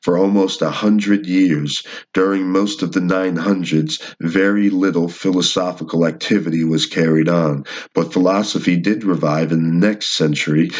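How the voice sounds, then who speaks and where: Man, very low voice, book reading, casual rambling asides. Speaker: channel host reading a book.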